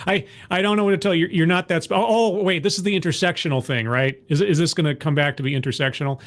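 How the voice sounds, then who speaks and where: A man's voice: a little high, steady tone, fairly quick, pauses often. A man hosting a reaction commentary, talking in a sarcastic, interrupting, exasperated way. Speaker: commentary host reacting to a talk.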